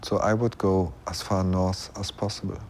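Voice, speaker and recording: Deep-voiced man being interviewed. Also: little pitch movement, normal speed. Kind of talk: conversational interview.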